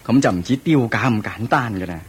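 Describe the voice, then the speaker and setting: A man's voice: lowish voice, expressive, a little fast, slight background noise, close to an everyday voice. A man with a Hong Kong Cantonese accent playing a proud, doting ancient king in a radio drama, speaking in a theatrical, regal way. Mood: concerned, ambitious. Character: proud, doting ancient Indian king, anxious about his heir.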